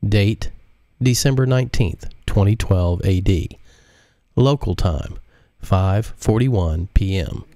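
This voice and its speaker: Low-voiced man reading his novel. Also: takes it slowly, dry recording, clean studio sound. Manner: relaxed, chatty asides between reading. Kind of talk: live audiobook reading.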